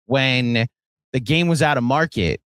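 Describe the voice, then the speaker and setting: A man's voice: mid-range voice, measured pace, fairly bright tone, smooth, no room sound, studio quality. An American man co-hosting a sports podcast, telling stories in a rambling, conversational way. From Virginia, United States. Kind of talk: casual sports chat.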